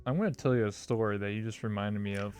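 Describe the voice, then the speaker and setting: A man's voice: fairly low pitch, fast, darkish tone, clear, resonant tone, faint music behind. A young man hosting an interview, telling a story casually and rambling, with "like" in nearly every phrase. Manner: rambling storyteller, heavy use of "like". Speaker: interview host. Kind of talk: casual chat.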